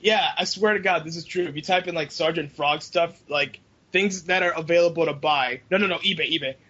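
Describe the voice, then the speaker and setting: A man co-hosting a casual group podcast, chatting in a jokey, animated way and swearing freely. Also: on the high side, fairly quick. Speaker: podcast co-host. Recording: dull lo-fi voice chat.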